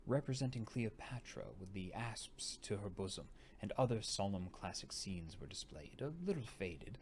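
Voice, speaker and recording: A man narrating a gothic novel as an audiobook reader, unhurried and dramatic, sometimes sinking into breathy near-whispers. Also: fairly low pitch. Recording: noticeable background noise.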